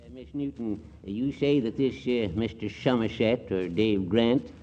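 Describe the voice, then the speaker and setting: An actor playing a flirtatious, bumbling small-town judge in a 1940s radio comedy, folksy, hemming and chuckling. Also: male voice, medium pitch, measured pace, warm tone, lo-fi sound, natural voice. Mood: coy, flirtatious. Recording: noisy old radio recording with clicks.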